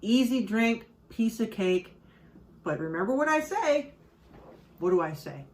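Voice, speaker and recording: A woman's voice: a little high, very animated, a little slow, a little mellow. Woman, cocktail show host, casual rambling chat, set back from the microphone. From Midwest of the United States. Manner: chatty and rambling, with frequent fillers and asides. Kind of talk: casual how-to chat while mixing drinks. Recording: voice a bit distant from the mic.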